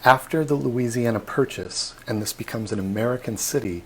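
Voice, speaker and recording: A man working as a tour guide, giving a chatty, animated talk during a live online tour. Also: mid-range voice, fairly quick, a little hiss or hum.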